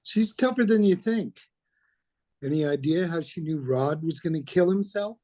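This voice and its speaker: A man reads as a worn police lieutenant in a horror drama. The voice is mellow and unforced, casual on top and on edge underneath. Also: a little high, lively intonation, moderate pace, close to an everyday voice. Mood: edgy, gruffly casual. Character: worn, edgy, nonchalant police lieutenant father. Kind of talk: script table read of a horror film.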